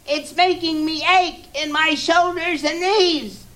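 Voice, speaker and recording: A man's voice: very high-pitched, steady tone, slow, pushed and bright, pitched above the performer's own voice, full character voice. A man voicing a meek small turtle at the bottom of a stack, pleading in a strained voice. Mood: polite pleading. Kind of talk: storybook reading.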